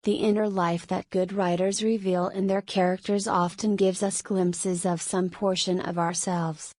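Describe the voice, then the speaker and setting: A woman's voice: mid-range voice, little pitch movement, a little slow, darkish tone, no room sound. Woman reading aloud in a dry recording. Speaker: exam practice model reader. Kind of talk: scripted read-aloud narration.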